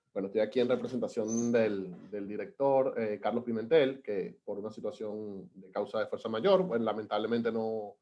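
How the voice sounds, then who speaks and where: Venezuelan male presenter, webinar on a video call, halting delivery with frequent restarts. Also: medium pitch, darkish tone, dull audio. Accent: Venezuelan Spanish. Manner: hesitant, many fillers and restarts. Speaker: government data analyst presenting.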